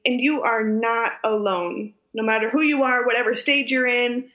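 A woman's voice: a little high, steady tone, normal speed, a bit bright, roomy sound, muffled recording. A young woman co-hosting a podcast, encouraging listeners in a casual sign-off over a video call. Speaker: podcast co-host. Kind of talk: casual chat.

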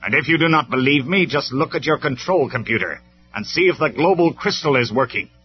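A man's voice: mid-range voice, expressive, normal speed, voice pushed up, lightly acted. Guy doing a noble robot leader giving orders, stern n formal, raised voice, storybook tape sound, kinda muffled. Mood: icy. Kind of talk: dramatized storybook reading. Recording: dull lo-fi recording with music.

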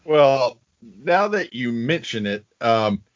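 A man's voice: mid-range voice, very animated, takes it slowly, no echo, lo-fi sound. A man co-hosting a podcast, recapping scenes in a dry, sarcastic and profane way. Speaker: podcast co-host. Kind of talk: casual chat, recapping a film.

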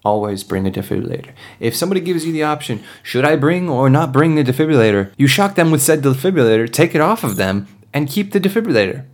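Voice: mid-range voice, very animated, quick pace, few pauses, pitched above the performer's own voice. Someone playing a short, bossy, cocksure pirate captain. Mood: bossy, joking. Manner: breezy, absurdly confident, bantering.

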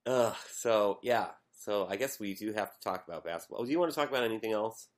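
A man with a low voice co-hosting a podcast in casual conversation. He rambles through long stretches of commentary, with fillers and a self-deprecating tone. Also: fast talker. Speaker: podcast co-host. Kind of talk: casual chat.

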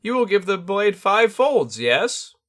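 An ancient bird-spirit sword master speaks in a sharp, piercing squawk, pitched far above an ordinary man's voice, as a male storyteller puts it on. He lifts his questions in a sing-song "yes?" and sounds loud and insistent. It is a cryptic, otherworldly teacher from an audiobook. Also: big pitch swings, slow pace, exaggerated voice.

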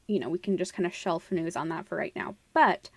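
A woman's voice: fairly low pitch, a little fast, dry recording. A woman co-hosting a podcast in casual, conversational discussion. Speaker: podcast co-host.

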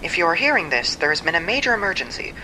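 A woman's voice: fairly low pitch, sing-song, fast, pushed and bright, slight background noise, rough recording. A woman playing a polished corporate announcer giving a pre-recorded emergency message in an audio drama. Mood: official, urgent. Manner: polished, official.

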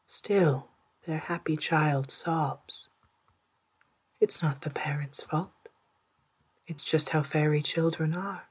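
A narrator with an androgynous, mid-pitched voice reads a literary story at a slow pace. The delivery is hushed and deliberate, with a soft, breathy edge and careful pauses between phrases. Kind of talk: scripted literary narration in second person, storytelling.

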